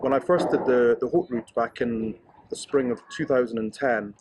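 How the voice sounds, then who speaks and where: A Scottish man in his thirties speaks in a middle-pitched, everyday voice, giving casual interview answers. He talks with enthusiasm and often restarts his sentences as he goes. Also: normal speed, a little mellow, slightly rough, rough recording. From Scotland. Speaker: interviewee, ski racer.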